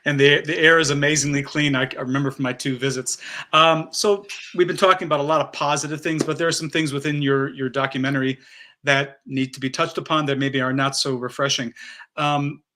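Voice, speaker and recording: Bright, forceful male interviewer. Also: a little high, steady tone, a little fast, a little hiss or hum. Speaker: interviewer, moderator. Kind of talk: measured, formal interview questioning.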